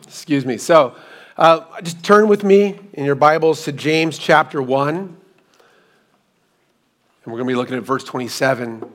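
A hoarse middle-aged man giving a sermon, telling a personal story with self-deprecating asides, speaking into a hands-free microphone. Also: a little high, measured pace, pauses often. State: hoarse, recovering from lost voice. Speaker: pastor.

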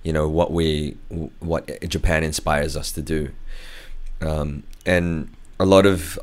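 A man, husky from a cold, talking as a podcast guest with many fillers and restarts. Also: rumbling low. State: has a cold. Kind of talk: casual podcast chat.

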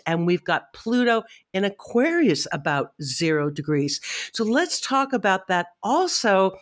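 A woman co-hosting an astrology podcast in casual chat, a bit stuffy from a head cold, upbeat and quick to laugh. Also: medium pitch. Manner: chatty, upbeat, laughs often. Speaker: podcast co-host.

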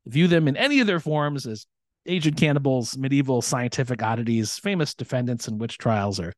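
A man's voice: medium pitch, brisk, no room sound. Podcast guy, thoughtful, lots of uhs, dry room. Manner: thoughtful, hesitant, with many fillers. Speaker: podcast co-host. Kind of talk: casual chat.

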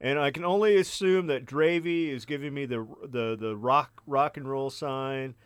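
A man's voice: medium pitch, moderate pace, smooth, dry recording, studio quality. A man co-hosting a podcast, bantering in a joking, hesitant way with many fillers. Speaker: podcast co-host.

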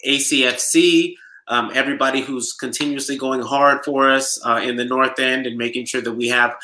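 A man in casual podcast chat, talking in a laid-back, enthusiastic way and filling gaps with ums and restarts. His voice is bright and forceful. The room around him gives it an echoey ring. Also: medium pitch, steady tone, moderate pace. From United States, Nigerian heritage. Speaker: supporter group DJ and show regular.

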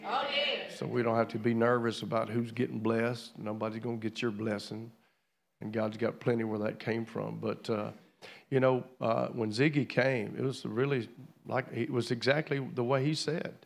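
A gravelly-voiced man preaches in a church. His delivery is folksy and full of homespun jokes, with a middling pitch and a rough edge of vocal fry. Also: normal speed. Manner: folksy, joking storyteller. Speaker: traveling preacher. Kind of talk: church pulpit speaking. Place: church sanctuary.

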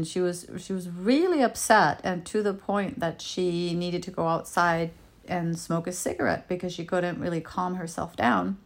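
A woman whose first language is Danish, giving a gentle, unhurried talk as a healing teacher, often repeating words as she searches for them. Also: lowish voice. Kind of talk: reflective spoken talk.

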